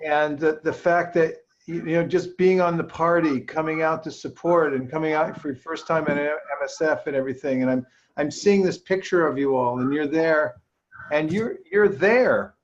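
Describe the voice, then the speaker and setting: A man speaking to a group as a spiritual teacher, halting and repetitive, with many restarts. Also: on the high side, muffled recording. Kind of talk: warm encouragement and questions to a group.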